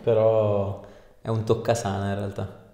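Guy chiropractor talking a patient thru treatment, mellow low voice, echoey room. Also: completely flat delivery, measured pace. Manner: reassuring, explanatory. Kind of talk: casual consultation with a patient during treatment. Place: small treatment room, indoors. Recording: echoey small room, background noise and clicks.

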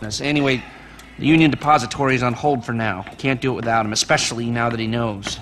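An actor playing a sardonic, nerdy middle-aged criminal planner in a video game, dry and wordy. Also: male voice, medium pitch, fairly even intonation, quick pace, fairly bright tone, slight background noise, lightly acted. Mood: dry, matter-of-fact. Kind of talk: cinematic video game acting.

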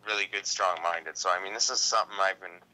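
A man's voice: low voice, steady tone, fast, sharp tone, rough recording. A man chatting casually as a phone interview guest, slangy and emphatic. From Canada. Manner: slangy, emphatic, peppers talk with "man" and "right". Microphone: phone call.

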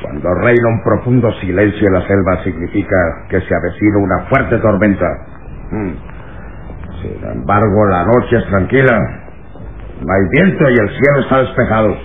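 An actor playing a cruel, domineering camp master in a vintage radio drama, with a gravelly voice and a commanding, sneering manner. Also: male voice, lowish voice, expressive, normal speed. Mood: smug, brooding. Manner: commanding, sneering chuckles, barked orders. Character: cruel, menacing male slave-camp master, impatient and domineering. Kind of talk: vintage Latin American radio drama acting. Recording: tinny, noisy old radio recording.

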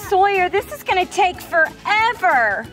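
American woman, thirties, exaggerated complaining delivery for a kids' skit, high voice, unhurried. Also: very animated. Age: 30s. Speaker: parent acting in a family kids' show. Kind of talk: playful acted skit for children. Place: outdoors by a house. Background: prominent music.

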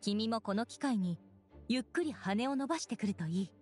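An actress playing a composed young female knight commander in an anime game, formal and gently authoritative. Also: on the high side, lively intonation, moderate pace, faint music behind, lightly acted. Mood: kindly firm. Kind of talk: Japanese anime game acting.

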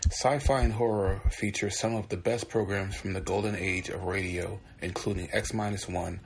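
A man's voice: low-pitched, steady tone, moderate pace, creaky, low-quality recording. A man hosting a podcast, reading a scripted introduction to a show. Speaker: podcast host. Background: music behind the voice.

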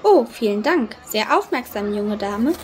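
A woman's voice: medium pitch, lively intonation, a little slow, some chatter behind, a little hiss or hum, natural voice. A woman playing a suspicious, authoritative policewoman in a children's audio drama. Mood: sceptical. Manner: stern, authoritative questioning.

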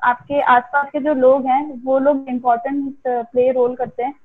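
High-voiced hesitant young woman, muffled call. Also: little pitch movement. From India. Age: early 20s. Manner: hesitant, halting with frequent pauses. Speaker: interviewed cricketer. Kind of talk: casual interview answers. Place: remote call on social media live. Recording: muffled, band-limited video call audio.

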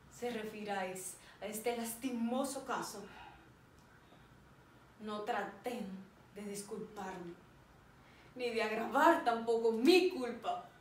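A woman acting a remorseful, proud military man in a solemn, declamatory stage monologue, with deliberate pauses. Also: mid-range voice, close to an everyday voice. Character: tragic male general, remorseful, proud, anguished. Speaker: drama student performing an exam monologue. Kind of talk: dramatic theatrical monologue. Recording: roomy, distant mic with faint noise.

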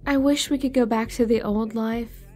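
A woman's voice: a little high, steady tone, moderate pace, darkish tone, close to an everyday voice. A woman playing an anxious, pregnant young wife in a horror audio drama, hesitant and pleading. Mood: wistful worry.

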